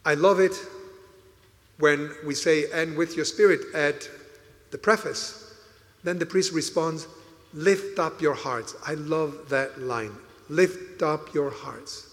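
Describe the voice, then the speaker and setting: A man whose first language is Italian, preaching a homily as a priest, deliberate and emphatic. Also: fairly high pitch. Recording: reverberant church hall.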